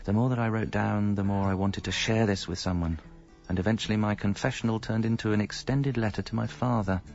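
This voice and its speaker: Middle aged british husband owning up to his lies, wry self-mocking radio comedy, gravelly, bit muffled. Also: lowish voice, fairly even intonation, a little fast, darkish tone. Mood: wry, confessional. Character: self-deceiving married man confessing his double life wryly. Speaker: narrator and lead character. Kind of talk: first-person radio comedy narration. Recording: dull lo-fi sound, faint music.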